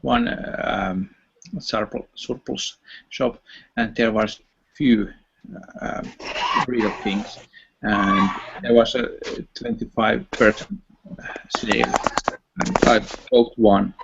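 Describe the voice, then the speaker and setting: A man's voice: lowish voice, fairly even intonation, slow, vocal fry, long pauses, background noise. A man with a Finnish accent in a casual online voice chat, speaking hesitantly with many fillers and restarts. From Finland. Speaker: chat participant. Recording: dull lo-fi online voice chat audio.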